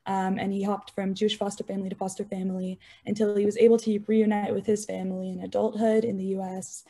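A young woman talks casually on a video call, her mellow, soft-edged voice full of easy fillers. Her delivery is relaxed and conversational. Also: mid-range voice. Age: young adult. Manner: casual, frequent "um" and "like". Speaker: student panelist. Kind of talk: online panel discussion.

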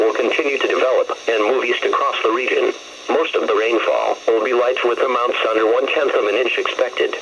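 Muffled, noisy synthetic male weather radio announcer. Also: medium pitch. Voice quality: synthetic text-to-speech voice. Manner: measured, impersonal reading. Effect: radio broadcast. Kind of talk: scripted weather bulletin narration. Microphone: camera recording a radio's speaker.

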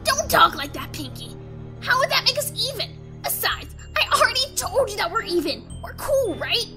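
A woman as a brash, bright-voiced young cartoon pony. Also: very high-pitched, very animated, moderate pace, soft background music, heavily acted. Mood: exasperated. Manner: boastful, blunt, exasperated. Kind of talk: animated fan radio play acting.

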